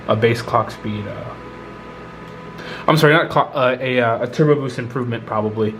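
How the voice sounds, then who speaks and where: A man with a congested, stuffy-nosed voice talks through a hardware project in an easygoing vlog manner. He is mid-pitched and chatty, often pausing on an um or correcting himself. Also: slight background noise. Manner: relaxed and chatty, with frequent ums and self-corrections. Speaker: YouTube tech vlogger. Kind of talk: casual hands-on tech vlog narration.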